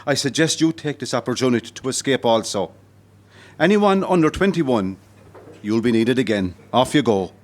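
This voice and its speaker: A man plays a resolute Irish commandant in a historical audio drama. He addresses his troops formally and solemnly, in measured phrases, with a medium-pitched voice. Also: lively intonation, lightly acted. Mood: solemn resolve. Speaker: actor in an amateur audio play.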